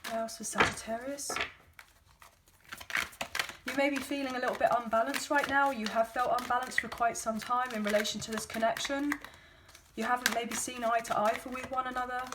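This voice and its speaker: A woman with a slightly croaky, hoarse voice, giving a casual tarot reading to her viewers in a chatty, gently encouraging way with frequent ums. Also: fairly high pitch, level tone, fairly quick, noisy background. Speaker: tarot card reader.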